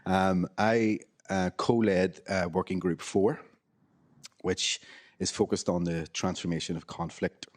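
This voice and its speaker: Deep-voiced Northern Irish male professor. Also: steady tone. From Northern Ireland. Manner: measured, lecturing, with frequent ums. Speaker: history professor. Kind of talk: academic panel talk.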